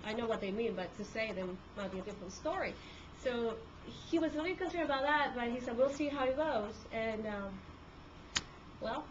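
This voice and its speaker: A woman from Peru whose first language is Spanish, speaking with a Peruvian Spanish accent as a court reporter, talking conversationally to a group with many fillers and restarts. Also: fairly high pitch. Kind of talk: talk to a group. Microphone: handheld camcorder. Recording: dull lo-fi camcorder audio with room noise.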